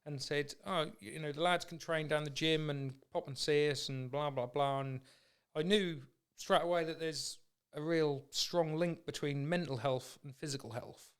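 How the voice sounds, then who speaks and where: A man's voice: on the high side, fairly even intonation, normal speed, clear, resonant tone. A man hosting a podcast in casual chat, with an informal, matey way of talking. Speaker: podcast host.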